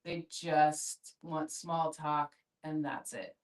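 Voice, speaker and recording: A woman's voice: low-pitched, steady tone, slow. A woman sharing candidly in a group discussion, blunt and emphatic. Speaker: discussion participant. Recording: echoey room, distant from mic.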